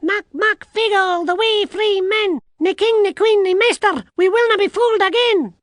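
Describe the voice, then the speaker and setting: A narrator voicing a tiny, rowdy male warrior creature with a Scottish accent and loud dialect speech, for a fantasy storybook. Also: extremely high pitch, normal speed, dry recording, clean studio sound, voice pushed up. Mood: boastful, rousing. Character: tiny rowdy blue-skinned warrior man, anxious, boastful thief.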